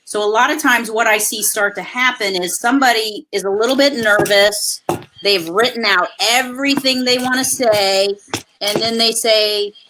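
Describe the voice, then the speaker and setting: A woman hosting a live webinar, talking through a video call. Her voice is loud and carries, with a bright, forceful edge. She coaches in an energetic, chatty way. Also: on the high side, normal speed, a little hiss or hum, rough recording. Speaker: host.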